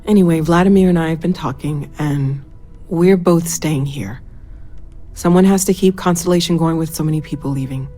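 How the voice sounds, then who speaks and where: Sincere nerdy scientist woman, lowish voice, game dialogue, soft music under it. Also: moderate pace. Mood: tender, resolute. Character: dedicated, excitable woman scientist, sincere homebody. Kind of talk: video game voice acting.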